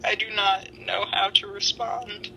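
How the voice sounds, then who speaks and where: A woman's voice: medium pitch, little pitch movement, measured pace, creaky, sharp tone, a little hiss or hum, low-quality recording. A young woman reading fan fiction dramatically and chatting in slang with friends.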